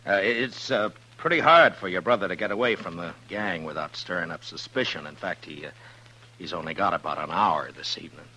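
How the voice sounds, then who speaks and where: An actor playing a steady, laconic Old West marshal in a 1950s radio drama, speaking in a terse, dry manner. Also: male voice, low-pitched, fairly quick, slightly rough, heavily acted. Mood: careful, hesitant. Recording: humming, noisy 1950s radio recording.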